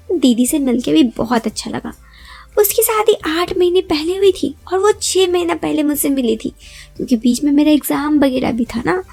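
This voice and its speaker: A woman's voice: high voice, creaky, darkish tone. A young woman narrating a first-person story in a chatty, confiding way, often addressing listeners with rhetorical asides.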